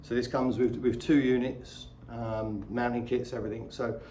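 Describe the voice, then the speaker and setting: A middle-aged man with a soft, dark-toned voice talks casually through a gear review. He is chatty and plain-spoken, and some background noise sits behind him. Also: medium pitch, level tone, moderate pace. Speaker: vlogger reviewing motorcycle gear. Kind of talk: casual unboxing and review talk.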